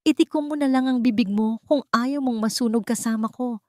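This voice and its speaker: A woman's voice: fairly high pitch, a little fast, dry recording, voice pushed up, lightly acted. A woman narrator voicing a cold, threatening female schemer in a dramatic story. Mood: cold threat. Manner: hard, cold, menacing.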